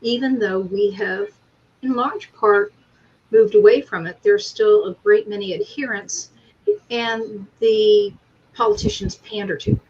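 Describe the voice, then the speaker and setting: A woman's voice: a little high, expressive, a little slow, a little mellow, breathy edge. A middle-aged or older woman co-hosting a casual spiritual discussion, recorded with lo-fi sound. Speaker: co-host of a spiritual discussion show. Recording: dull lo-fi sound, background noise.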